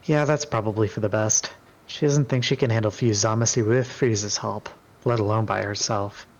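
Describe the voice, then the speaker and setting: A man's voice: fairly low pitch, steady tone, normal speed, highs cut off. A man chatting casually and reading story narration aloud.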